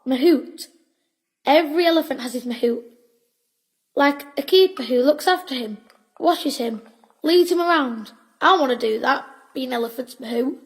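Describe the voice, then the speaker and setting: A woman's voice: sits high, moderate pace, rough recording. A woman playing a teasing, playful working-class child in a Victorian audio drama, with clipped, informal speech. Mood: earnest.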